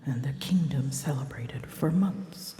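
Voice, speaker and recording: A woman voicing a prickly, wounded female dragon in an intimate ASMR roleplay, speaking haltingly and slipping into whispers. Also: a bass voice, dark tone. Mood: haunted, confiding. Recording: roomy long reverb, faint ambient noise.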